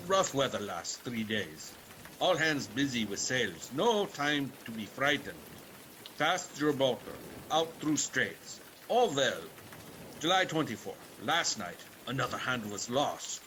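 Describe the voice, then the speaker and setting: A man acts out a radio-play sea captain, slow and forceful. He is grim and dutiful with fear underneath, speaking in terse log entries over faint noise. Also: medium pitch, sing-song, poor audio. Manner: terse, clipped phrasing. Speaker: actor.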